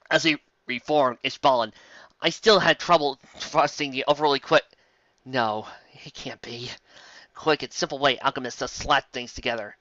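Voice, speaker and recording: Bright, theatrical comedy host, slightly lo-fi. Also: medium pitch, no echo. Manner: theatrical, exclamatory, sarcastic asides. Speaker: host of a fanfic reading show. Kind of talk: comedic dramatic reading with mocking commentary.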